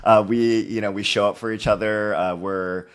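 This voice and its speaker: A very deep-voiced man from Hawaii in his thirties talks easily as a podcast guest. His speech is upbeat and loose, strung together with "you know" and "like". He sounds relaxed and conversational. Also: moderate pace, clear, resonant tone. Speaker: guest author. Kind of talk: casual interview conversation.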